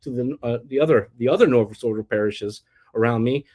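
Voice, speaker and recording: A man's voice: medium pitch, a little fast, mellow. Rambling middle-aged American man. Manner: rambling, many restarts and fillers. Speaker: interview guest. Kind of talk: casual personal storytelling.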